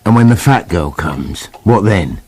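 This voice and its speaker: An actor voicing a squeamish, sarcastic big bad wolf in a comic radio play, husky and forever complaining. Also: male voice, low-pitched, animated, measured pace, a little mellow. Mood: suspicious. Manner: sardonic, put-upon complaining.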